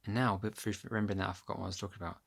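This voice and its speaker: A man with a low, deep voice talks casually into a phone camera held close. His delivery rambles, drifting off track and filling the gaps with ums and "anyway". It sounds homely and unpolished, recorded at home. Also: level tone, racing, creaky. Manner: rambling, loses his thread with many ums. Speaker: vlogger. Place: at home, upstairs room.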